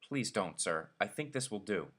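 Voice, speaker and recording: A man's voice: lowish voice, expressive, normal speed, fairly bright tone. A man voicing a timid, deferential teacher who speaks hesitantly and pleadingly in a storybook reading. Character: timid, deferential male subordinate teacher, privately scathing.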